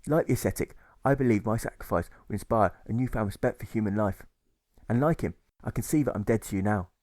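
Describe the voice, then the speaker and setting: A man reading a gentle, apologetic young pacifist with earnest, repetitive pleading in an audiobook. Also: fairly low pitch, fast talker, dry recording, close to an everyday voice. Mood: serene, earnest.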